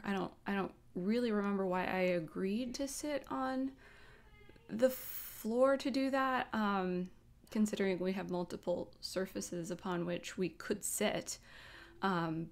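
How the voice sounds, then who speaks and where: An American woman hosting in a casual, playful way, rambling informally as she chats. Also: medium pitch. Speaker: host. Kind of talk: casual, chatty vlog talk.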